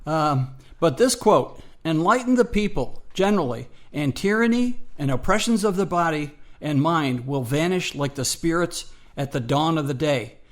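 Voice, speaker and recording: A man with a fairly high voice co-hosts a radio show. He comments conversationally and presses his points, often repeating a phrase for emphasis. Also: expressive, moderate pace, few pauses.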